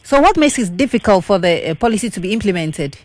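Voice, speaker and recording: A woman presenting radio news who asks her guest brisk, formal questions. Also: medium pitch, big pitch swings, no echo. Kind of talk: news interview.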